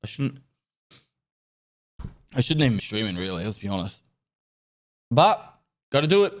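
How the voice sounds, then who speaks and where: Congested, relaxed male streamer. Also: on the low side, normal speed. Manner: relaxed, rambling, swears casually. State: congested nose from a cold. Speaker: live streamer. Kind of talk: casual live-stream chat, thanking subscribers.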